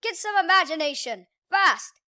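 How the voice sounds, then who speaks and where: Sly storybook cat, read by a woman, high and bright, wry and advising. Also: expressive, moderate pace, dry recording, clean studio sound, heavily acted. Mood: urgent advice. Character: sly, wry talking cat giving advice.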